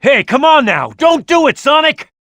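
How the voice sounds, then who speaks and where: A man's voice: extremely high pitch, sing-song, normal speed, bright, forceful tone, dry recording, studio quality, full character voice. A man voicing a tough, hot-headed echidna brawler in a video game, proud and blunt in manner. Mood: alarmed.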